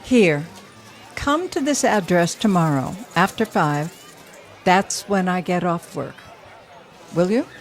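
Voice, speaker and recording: Slow, low-voiced, dry tycoon. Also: female voice, lively intonation. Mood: sincere, businesslike. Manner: measured, dryly teasing. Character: dry, guarded, wealthy woman steel mill owner. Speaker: actor. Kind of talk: audio drama acting.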